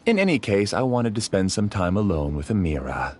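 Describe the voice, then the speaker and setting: A man's voice: fairly low pitch, sing-song, a little fast, darkish tone, slight background noise. A man voicing a scornful, theatrical video game villain who talks in a condescending, grandiose way. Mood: smug, disdainful. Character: scornful, theatrical male villain, obsessive master of a dream.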